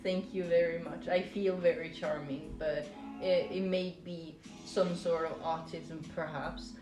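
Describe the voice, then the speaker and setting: A woman's voice: fairly low pitch, fairly even intonation, measured pace. A woman from Norway, chatting casually to live stream viewers in a hesitant, rambling, playful way. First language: Norwegian. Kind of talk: casual chat with stream viewers while crocheting. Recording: roomy echo with music behind. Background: music.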